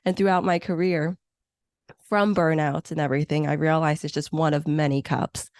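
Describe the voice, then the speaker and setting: A woman's voice: low voice, steady tone, moderate pace, a little mellow, dry recording, clean studio sound. A woman in her thirties, a Hong Kong–born meeting planner, speaking as a guest in an interview with an enthusiastic, reflective manner. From born in Hong Kong, grew up in the U.S. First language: Chinese or Vietnamese. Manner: enthusiastic, reflective, uses many fillers. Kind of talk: conversational interview.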